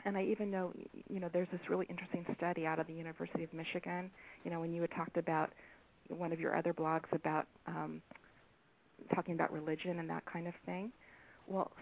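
A soft-spoken woman, an author, being interviewed over the phone, speaking hesitantly with many pauses and ums. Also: deep voice, gravelly. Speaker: author and guest. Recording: tinny phone line with faint hiss.

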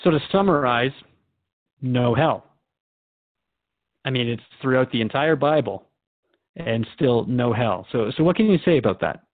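A man's voice: mid-range voice, expressive, unhurried, pauses often, phone-quality sound. A man playing a curious, wry radio host who questions his guest conversationally in an audio drama. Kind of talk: scripted radio drama interview.

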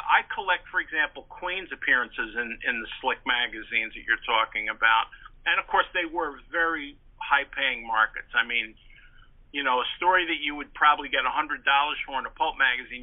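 A man giving detailed, talkative explanations as a historian guest in an informal interview, speaking over the telephone. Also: medium pitch. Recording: narrow-band phone-like radio line.

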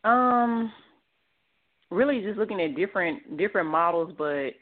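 A woman in her twenties from Texas, answering interview questions over the phone and pausing often on ums. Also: medium pitch, animated. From Dallas, Texas. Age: 20s. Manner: hesitant, many ums, soft-spoken. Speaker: guest model. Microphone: phone line. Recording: tinny phone line.

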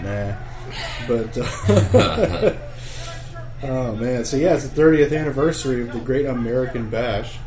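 A man co-hosting a casual wrestling fan podcast, joking around and talking haltingly with many fillers. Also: medium pitch, lively intonation, reverberant, crowd noise. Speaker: podcast co-host. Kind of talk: casual chat among fans.

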